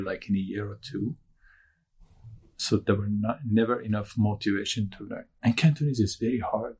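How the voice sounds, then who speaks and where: A low, gravelly voice with a husky edge belongs to a young man from Iran whose mother tongue is Turkish. He speaks English, halting and restarting, and slips in dry jokes. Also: darkish tone. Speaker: guest. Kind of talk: candid interview conversation.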